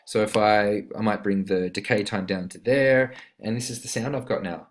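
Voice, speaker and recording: A man giving an informal, step-by-step screen-recorded tutorial on music production software, talking as an instructor. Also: fairly low pitch, steady tone, moderate pace, background noise. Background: synth instrument notes played during the demonstration.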